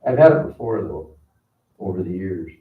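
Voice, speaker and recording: A hoarse man chatting casually and in a folksy way, as a county commissioner before a meeting. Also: deep voice, expressive, normal speed. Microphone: distant room microphone. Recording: echoey room, distant mic, muffled, faint noise.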